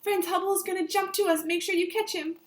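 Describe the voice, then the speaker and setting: A woman reading a children's story aloud in an animated, deliberate teacher's way, addressing her young listeners directly. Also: very high-pitched.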